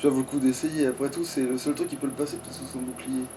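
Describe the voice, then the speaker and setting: A man's voice: medium pitch, little pitch movement, fast, music in the background. A man chatting casually as he plays a game, grumbling and swearing freely. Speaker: let's player. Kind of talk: casual gameplay chat with a co-player. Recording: distant mic with background noise.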